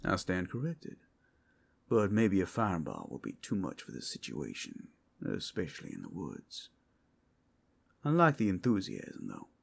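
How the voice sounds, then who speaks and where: A man's voice: sits low, huge pitch swings, measured pace, creaky, heavily acted. A man playing an easygoing, protective werewolf companion in an ASMR roleplay, talking in a casual, teasing way. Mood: hushed, teasing.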